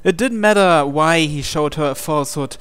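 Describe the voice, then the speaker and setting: Higher-voiced man reading dramatic narration. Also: moderate pace, fairly bright tone, smooth, soft background music. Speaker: visual novel reader.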